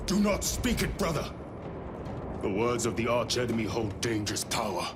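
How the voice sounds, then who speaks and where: A man's voice: mid-range voice, very animated, moderate pace, noisy background, close to an everyday voice. A man playing a cautious, severe battle brother among space soldiers in a video game, speaking firmly. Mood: wary.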